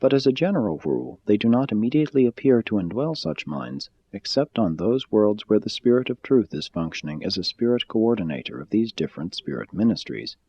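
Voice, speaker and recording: A man with a low, mellow voice reads formal spiritual prose like an audiobook narrator. His delivery is measured and solemn, with a slightly husky grain. The recording sounds a little dull. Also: sing-song. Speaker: audiobook-style reader. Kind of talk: formal scripted reading of a spiritual text.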